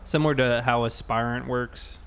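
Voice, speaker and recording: A man's voice: mid-range voice, fairly even intonation, moderate pace, fairly bright tone, slight background noise. A man chatting casually and explaining things conversationally while he plays a game. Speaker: game streamer and host. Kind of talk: casual chat while gaming.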